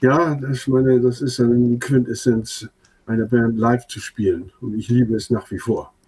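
An older man whose first language is Low German answers interview questions about his band. His voice is husky and warm, and he speaks haltingly, restarting phrases and filling pauses. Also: fairly even intonation, rough recording. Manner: hesitant, many fillers and restarts. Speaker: band leader and songwriter.

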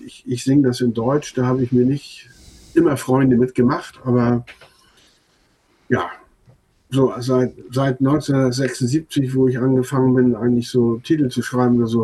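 Older man, husky, mellow, hesitant speech. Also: mid-range voice, poor audio. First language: Low German. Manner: hesitant, many fillers and restarts. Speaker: band leader and songwriter. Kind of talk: radio interview answers.

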